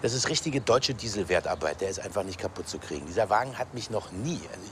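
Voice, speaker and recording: A man's voice: low-pitched, lively intonation, quick pace, background noise. An actor playing a proud man showing off and selling his old diesel car in a TV crime drama. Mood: boastful. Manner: proud, salesman-like. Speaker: car seller.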